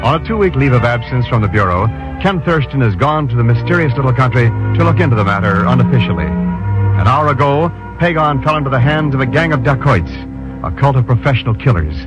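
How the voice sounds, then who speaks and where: A man announcing and narrating a radio drama in a smooth, formal, scripted manner. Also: medium pitch, expressive, a little fast. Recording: lo-fi old radio recording with music.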